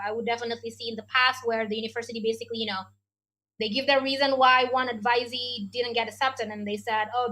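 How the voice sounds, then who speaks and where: A woman with an Indonesian accent explains admissions questions to an online audience, her voice bright and fairly high. She talks in a chatty, explanatory way, full of "you know" and "like", and the sound comes through a video call. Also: low-quality recording. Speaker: education adviser.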